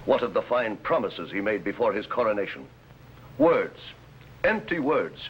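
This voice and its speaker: An actor playing a grave, indignant medieval baron, speaking formally and declaiming in 1950s television drama style. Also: male voice, lowish voice, very animated, normal speed, slightly rough, exaggerated voice. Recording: dull, faintly noisy old TV soundtrack.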